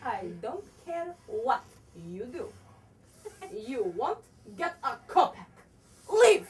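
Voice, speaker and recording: A woman's voice: fairly high pitch, sing-song, takes it slowly. A woman playing a haughty, fiery widow in a theatrical stage comedy, indignant and melodramatic. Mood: cold, haughty. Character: haughty, fiery widow defying an unwanted creditor. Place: theatre stage, picked up from afar. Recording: distant shouted stage recording, audience and music behind.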